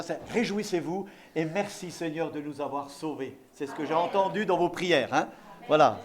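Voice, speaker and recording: Male preacher from eastern France, fairly high voice, exhorting sermon delivery with repeated key phrases. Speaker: visiting evangelical pastor preaching.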